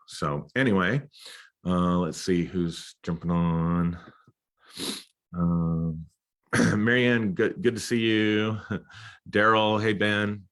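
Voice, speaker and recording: A man hosting a casual live stream, stuffed up and sniffly, talking haltingly with lots of fillers and throat clearing. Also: low-pitched, fairly bright tone. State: congested, sniffly. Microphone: computer or phone mic.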